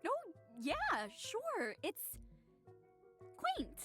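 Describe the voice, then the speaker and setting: A woman's voice: very high voice, wildly swooping pitch, very slow, faint music behind, exaggerated voice. A woman voicing a chipper, slightly anxious and prim female robot driver with dry sarcasm in a video game cutscene. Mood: politely sarcastic.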